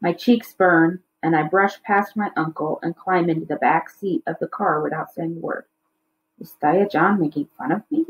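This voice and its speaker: A woman's voice: on the low side, moderate pace, lo-fi sound. A woman reading a children's novel aloud as a teacher would, in storybook narration.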